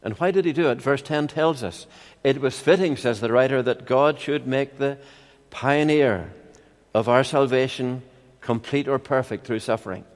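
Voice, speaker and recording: An older man with a Northern Irish accent preaching a sermon in a measured, expository way, with rhetorical questions and personal anecdotes. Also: medium pitch. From Ireland. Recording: long hall reverb.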